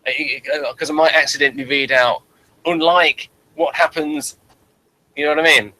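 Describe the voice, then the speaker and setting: A man co-hosting a casual, unscripted review chat, repeating words and trailing off mid-thought in a halting way. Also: on the high side, steady tone, pushed and bright, rough recording. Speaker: podcast co-host reviewing a TV series.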